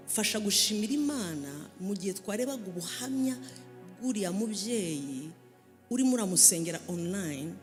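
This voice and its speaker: A woman from Rwanda, a pastor, preaching with fervour and rising to shouts as she urges the congregation. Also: mid-range voice. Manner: shouting fervently, urging the congregation. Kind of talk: sermon preaching in Kinyarwanda, interpreted. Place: church hall. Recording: echoey hall with music.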